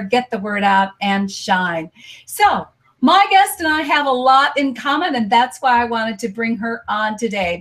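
A woman's voice: fairly high pitch, fairly bright tone. An American woman hosting a live stream interview show, upbeat and promotional. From United States. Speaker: host.

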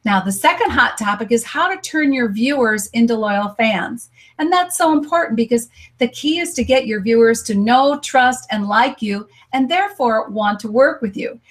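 An upbeat American woman hosts a live stream interview show, pitching her sponsor and guest with lots of enthusiasm. Her voice sits a little high. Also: lively intonation, moderate pace. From United States. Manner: upbeat, promotional. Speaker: host.

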